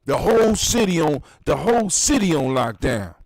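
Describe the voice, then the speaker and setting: A man in his fifties talks with a lively, swooping, slightly high voice, ranting with heavy cursing and strong emphasis. The sound is close and dry, with no room around it. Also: moderate pace, dry recording. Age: 50s. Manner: animated, profane, emphatic ranting. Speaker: podcast host. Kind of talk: casual chat.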